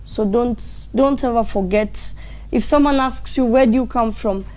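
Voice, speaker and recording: A woman guest from Italy speaking earnestly and warmly about home in a casual interview. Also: on the high side, moderate pace. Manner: earnest, heartfelt.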